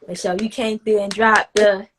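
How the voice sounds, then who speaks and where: A woman's voice: mid-range voice, moderate pace, a crowd in the background. Chatty woman coach, loose and rambling. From not from the Caribbean. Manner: relaxed, loose and chatty, full of "you know" fillers. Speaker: fitness coach hosting a live stream. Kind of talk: casual live-stream chat.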